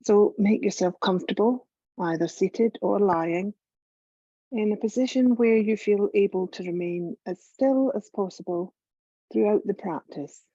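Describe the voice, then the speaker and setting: A woman leading a guided meditation as a yoga teacher, calm and measured, giving gentle instructions with long pauses. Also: mid-range voice, dry recording, dull audio.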